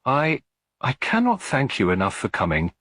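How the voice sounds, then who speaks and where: A man's voice: medium pitch, huge pitch swings, moderate pace, fairly bright tone, dry recording, studio quality, voice pushed up. A man voicing an anxious, tearful widow with a hesitant, pleading manner in a storybook reading. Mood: fearful pleading. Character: anxious, tearful working-class widow, thin and worried.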